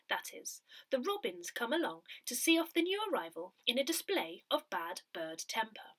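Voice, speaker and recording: A woman reading written newsletter articles aloud in a measured, expressive way, as scripted narration. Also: a little high. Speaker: newsletter reader.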